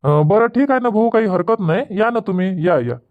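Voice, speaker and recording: A man's voice: sits high, animated, normal speed. A man playing plain-spoken village orange farmers in a cartoon comedy, chatting colloquially with a Varhadi Marathi accent from Vidarbha. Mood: agreeable. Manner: colloquial, repetitive rustic chatter. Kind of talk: dubbed cartoon comedy dialogue. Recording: dull lo-fi audio.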